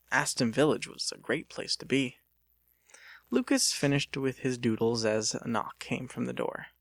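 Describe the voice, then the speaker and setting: Animated, creaky-voiced male audiobook narrator. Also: medium pitch, no room sound, clean studio sound. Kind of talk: dramatic scripted storytelling.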